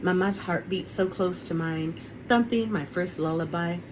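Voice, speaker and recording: A woman's voice: on the low side, normal speed, noisy background. A woman who is an author, reading her children's picture book aloud on an online webinar recording. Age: adult, parent of a young son.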